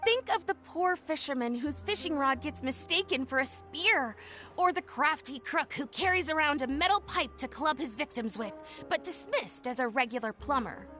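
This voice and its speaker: High bright eager inventor woman, salesy patter, soft music behind. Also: expressive, moderate pace. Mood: explaining. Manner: upbeat pitchman patter, explains eagerly. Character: enthusiastic young woman tech inventor, salesy. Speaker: game character. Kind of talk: video game voice acting.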